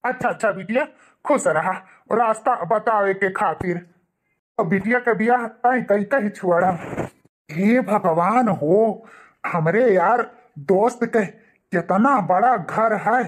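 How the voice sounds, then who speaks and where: A man's voice: high-pitched, slow. A man acts out an elderly village father from an Awadhi cartoon. He sounds humble and folksy, speaks with an Awadhi accent, and is hearty and devoted to his old friend. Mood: delighted, proud. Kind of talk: Hindi cartoon family drama dialogue in Awadhi.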